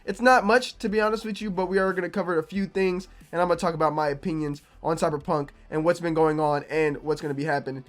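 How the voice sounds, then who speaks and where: A young man, a gaming YouTuber, talking casually to his viewers with a slightly raspy, low-energy voice from being sick. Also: steady tone, fast talker, hardly any pauses. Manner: conversational, repeats himself, low energy. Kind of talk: casual opinion vlog to camera.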